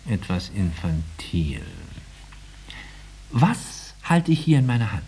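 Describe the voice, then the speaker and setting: Condescending psychiatrist, noisy old radio. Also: male voice, lowish voice, very animated, mellow. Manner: clinical, condescending, drawn-out murmurs. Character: condescending male psychiatrist questioning his patient. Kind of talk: 1950s German radio drama acting. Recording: noisy 1950s radio recording with clicks.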